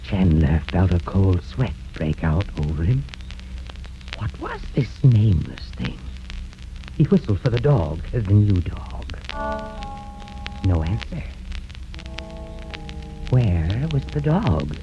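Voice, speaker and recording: An old, muffled radio recording carries a sinister horror storyteller with a low voice. He swings dramatically up and down in pitch, drawing out his words with gleeful, macabre relish in classic 1930s thriller style. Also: big pitch swings, music in the background, exaggerated voice. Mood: suspenseful. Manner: theatrical, drawn-out dramatic delivery with macabre relish.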